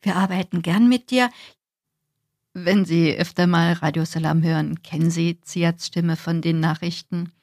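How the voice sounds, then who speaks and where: A woman's voice: lowish voice, fairly even intonation, measured pace, darkish tone, no echo. Dry-sounding female radio presenter. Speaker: radio news reader and presenter. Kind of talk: read news bulletin and friendly interview. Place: radio studio.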